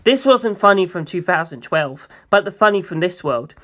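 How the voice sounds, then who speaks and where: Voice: mid-range voice, expressive, moderate pace, a bit bright. A person reading a first-person novel aloud as scripted audiobook narration. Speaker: amateur audiobook reader.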